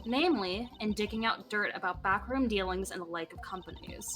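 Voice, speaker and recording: A woman voicing a giggly, teasing young female lawyer with a sharp tongue, speaking breezily in a fan game dub. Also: mid-range voice, brisk, close to an everyday voice.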